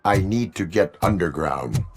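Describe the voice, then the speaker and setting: Low, deadpan robotic cyborg cop. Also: male voice, measured pace, a crowd in the background. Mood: stoic. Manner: deadpan, stiff, formal phrasing. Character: stoic male cyborg police officer, formal and robotic. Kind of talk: video game voice acting.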